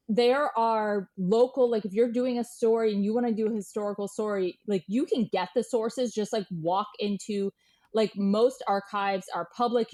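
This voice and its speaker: A woman's voice: medium pitch, darkish tone. A middle-aged woman speaking casually as an interview guest, chatty, candid and self-deprecating about herself. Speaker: guest journalist and writer.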